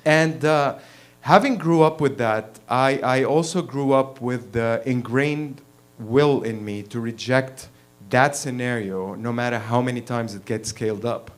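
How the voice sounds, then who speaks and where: A man in his thirties, from Lebanon, tells a frank personal story on a small stage. He talks bluntly and swears freely, laughing and pushing his voice up when he gets fired up. His voice is of middle pitch, heard through a handheld mic. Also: unhurried. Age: 30s. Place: small performance venue, in front of an audience.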